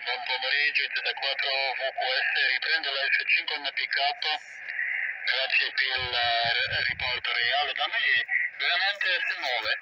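A man's voice: medium pitch, unhurried, far from the mic, dull audio. Man on very noisy shortwave radio. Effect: radio transmission. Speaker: amateur radio operator. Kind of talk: radio contact exchange.

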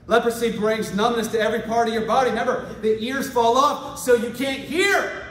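High-voiced male preacher in echoey hall. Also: normal speed, a little hiss or hum. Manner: swings from quiet asides to shouted exhortation; acts out quoted voices for laughs. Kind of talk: lively sermon with congregation responses. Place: large church hall. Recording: echoey hall, far from mic, congregation. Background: congregation responding.

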